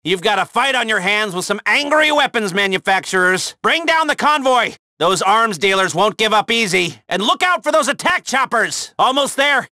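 A man acting an excitable, wisecracking mission handler in a video game, sarcastic and emphatic. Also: high-pitched, moderate pace, few pauses, dry recording, clean studio sound, full character voice. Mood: urgent.

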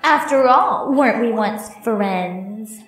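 A woman's voice: on the high side, expressive, slow pace, recorded from a distance. A woman playing a smug, taunting villainous pony princess in a fan cartoon audio drama, gloating and theatrical. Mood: mocking. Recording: echoey, music underneath.

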